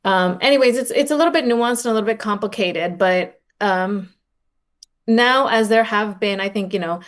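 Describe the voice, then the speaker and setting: A woman's voice: mid-range voice, moderate pace. Reflective woman in conversation. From raised in Los Angeles, born in Oaxaca. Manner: reflective, informal, frequent "like" and retold dialogue. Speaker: podcast guest, nonprofit director and advocate. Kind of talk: conversational interview answers.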